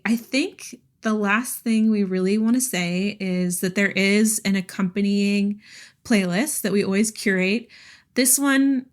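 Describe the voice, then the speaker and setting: A woman co-hosting a podcast, chatting casually about books in a giggly, enthusiastic way. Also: medium pitch. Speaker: podcast co-host. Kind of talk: casual book chat.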